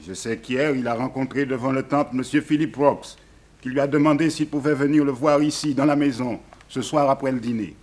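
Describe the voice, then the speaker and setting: A man's voice: medium pitch, fairly even intonation, fairly quick, a little mellow, natural voice. A male actor as a weary, submissive plantation labourer in an old French radio play. His voice sits in a middle register, and he talks simply and naively, with a mournful streak. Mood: plain, submissive. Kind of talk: 1960s French radio drama acting.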